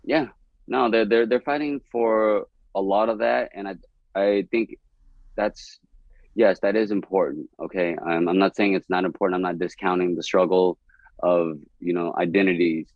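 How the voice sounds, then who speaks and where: A low-pitched young man from East Dallas, Texas, chatting candidly on a podcast. He rambles in an animated way and laughs a lot. The sound is dry and a bit dull. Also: normal speed, no echo. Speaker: comedian guest.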